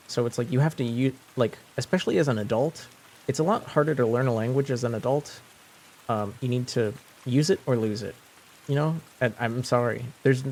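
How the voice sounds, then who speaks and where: A man's voice: mid-range voice, creaky, darkish tone, a little hiss or hum. A young man whose first language is French, chatting casually on a live stream, rambling with lots of fillers and restarts. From French-speaking.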